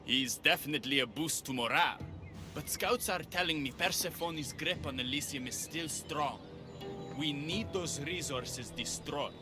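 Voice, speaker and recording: An actor playing a suave, confident rebel leader with a flirtatious manner in a mythic Greek video game. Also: male voice, fairly high pitch, normal speed, music in the background, lightly acted. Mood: assured. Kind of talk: video game cutscene dialogue.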